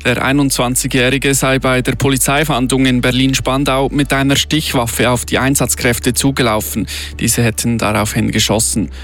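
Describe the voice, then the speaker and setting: A man's voice: mid-range voice, level tone, normal speed, few pauses, fairly bright tone. A man reading scripted radio news in the studio. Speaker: radio newsreader. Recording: studio news over music bed. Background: music bed.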